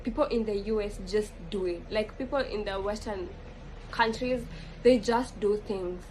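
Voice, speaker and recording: A woman's voice: mid-range voice, moderate pace, a little mellow, slight background noise, rough recording. Young Kenyan woman musing casually. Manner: rambling, frequent fillers and self-corrections. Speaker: vlogger. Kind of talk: casual reflective monologue.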